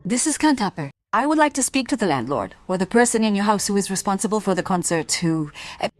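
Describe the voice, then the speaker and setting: A woman's voice: mid-range voice, expressive, fast talker, lightly acted. A woman playing an anxious wife full of doubt in a radio drama, speaking in an insistent, questioning way. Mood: hesitant, businesslike. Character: anxious musician's wife doubting her husband's innocence. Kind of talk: dramatic radio play dialogue and monologue.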